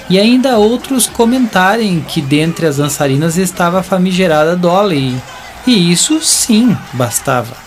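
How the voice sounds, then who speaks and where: A Brazilian man narrates an audio drama with theatrical, drawn-out phrasing. His voice sits a little high for a man, and music plays behind him.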